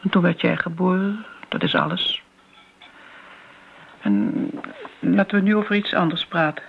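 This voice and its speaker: Een actrice die in een hoorspel een oudere, keurige tante speelt, ontwijkend en sentimenteel, met een ingehouden stem. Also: female voice, on the low side, big pitch swings, a little slow, full character voice. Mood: defensive. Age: older. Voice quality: hushed, creaky. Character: elderly genteel aunt, evasive, sentimental, prim. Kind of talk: radio play acting. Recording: muffled, noisy old radio play recording.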